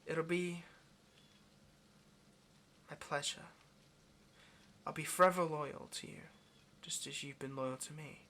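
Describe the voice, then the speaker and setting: A man's voice: fairly high pitch, fairly even intonation, slow pace, pauses often, sharp tone. A man playing a lonely, clingy yandere demon in an intimate audio roleplay, speaking tenderly and hesitantly straight to the listener with obsessive devotion. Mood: tender, elated.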